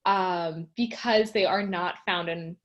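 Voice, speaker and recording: A woman's voice: lowish voice, steady tone, slow pace. A woman co-hosting a casual panel discussion, chatty and friendly as she explains.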